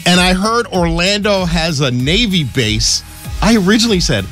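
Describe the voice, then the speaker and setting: A man's voice: high-pitched, normal speed, soft background music. A man co-hosting a morning radio show in a radio studio, chatting casually and reading traffic. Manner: chatty, animated, reads traffic reports.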